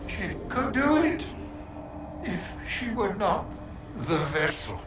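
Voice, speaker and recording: An actor playing a broken, captive scholar mage in a video game, grandiose and despairing, rambling in halting, theatrical phrases. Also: male voice, sits high, big pitch swings, heavily acted. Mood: halting, feverish. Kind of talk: dramatic video game acting. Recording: roomy echo, music underneath.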